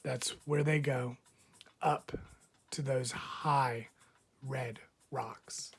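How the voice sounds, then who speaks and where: A man reading a picture book aloud as a teacher would, expressively, with deliberate dramatic phrasing and frequent pauses. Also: mid-range voice, steady tone, vocal fry, breathy edge. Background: background music.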